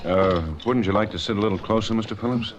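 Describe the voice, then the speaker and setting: An actor playing a calm, courteous American police lieutenant in a 1950s radio crime drama, dry and knowing. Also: male voice, fairly low pitch, fast, background noise, natural voice. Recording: dull old radio recording, crowd behind.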